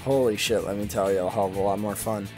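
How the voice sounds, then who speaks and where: A man's voice: fairly low pitch, fairly even intonation, quick pace, a little mellow. A man giving casual gameplay commentary as a video host, rambling and sweary with an enthusiastic manner and a slight speech impediment. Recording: music playing behind the voice.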